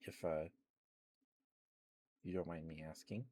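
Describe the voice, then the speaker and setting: A man's voice: extremely low pitch, fairly even intonation, slow pace, background noise. A man doing ASMR as a live streamer, chatting casually and affectionately with viewers close to the microphone. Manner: affectionate, addresses viewers by pet names.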